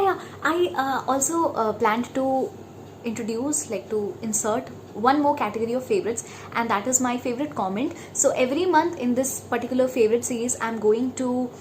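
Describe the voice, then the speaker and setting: A woman's voice: a little high, a little hiss or hum. A young woman from India with an Indian accent, chatting casually as a vlogger and slipping between English and Hindi. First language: neither English nor Hindi. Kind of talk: casual chat.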